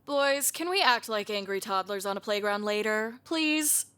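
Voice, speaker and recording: A woman's voice: mid-range voice, lively intonation, normal speed, pushed and bright. A woman voicing a wry, sensible, exasperated young raccoon in an audio drama. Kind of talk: audio drama cartoon acting.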